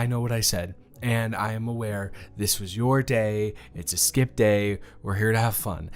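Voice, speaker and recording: Stammering, flustered young man. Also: fairly low pitch. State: overwhelmed. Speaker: voice actor in a romance audio drama. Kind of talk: one-sided audio roleplay to the listener.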